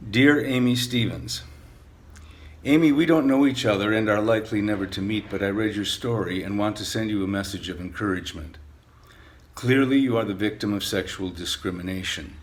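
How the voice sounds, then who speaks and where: Older man, lowish voice, earnest letter reading. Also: moderate pace. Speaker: letter writer. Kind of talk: reading a personal letter aloud.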